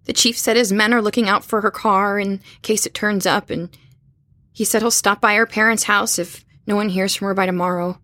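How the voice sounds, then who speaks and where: A woman's voice: mid-range voice, fairly even intonation, moderate pace, sharp tone, no echo, close to an everyday voice. A woman playing a distraught, bitter young woman in a horror audio drama, grave and blunt. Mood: worried.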